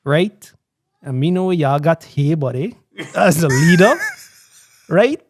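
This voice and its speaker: A man's voice: a little high, big pitch swings, slow, no room sound. Proud Guyanese man in a dry studio. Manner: proud, earnest, laughs often. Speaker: guest. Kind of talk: casual interview chat. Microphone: studio podcast mic.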